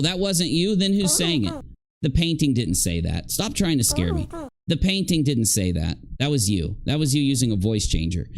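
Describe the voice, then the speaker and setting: A man hosts a casual livestream in a middling-pitched voice. He rambles along, reads bits aloud and breaks off into jokey, grumbling asides. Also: a little mellow. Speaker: livestream host and commentator.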